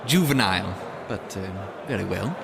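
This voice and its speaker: A man playing a smug, bullying older officer in an audio drama, measured and condescending. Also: on the low side, lively intonation, gravelly, very noisy.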